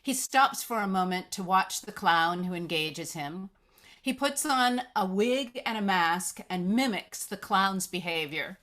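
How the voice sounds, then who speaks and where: Woman narrating a screenplay, medium pitch. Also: expressive, unhurried, fairly bright tone. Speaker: stage-direction reader. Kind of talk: scripted narration, screenplay table read.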